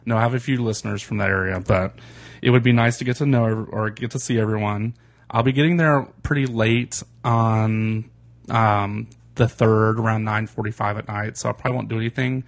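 A man's voice: on the low side, steady tone, a little fast, gravelly. A man co-hosting a casual podcast, chatty and teasing, laughing often. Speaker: podcast co-host.

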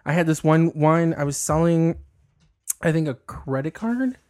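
A man's voice: on the high side, lively intonation, measured pace, a little mellow. A young man chatting casually as a podcast guest, laughing often and swearing offhandedly. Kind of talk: casual chat.

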